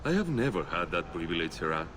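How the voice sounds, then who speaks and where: An actor voicing a salesy, evasive travelling merchant in a video game. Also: male voice, deep voice, sing-song, fast, fairly bright tone, a little hiss or hum. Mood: ingratiating.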